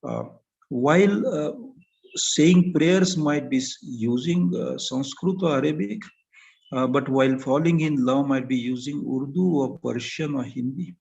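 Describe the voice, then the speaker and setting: An older man with an Indian English accent, giving a thoughtful academic talk as a panel speaker, pausing often and filling gaps as he searches for words. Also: mid-range voice, gravelly, darkish tone. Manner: halting, thoughtful, with many pauses and fillers. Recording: slightly muffled lo-fi recording.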